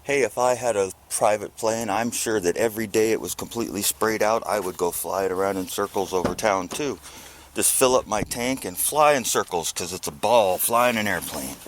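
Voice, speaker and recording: A man's voice: lowish voice, moderate pace, a little hiss or hum, low-quality recording. A man giving a rambling, off-the-cuff monologue as a vlogger, with a sarcastic, mock-earnest manner.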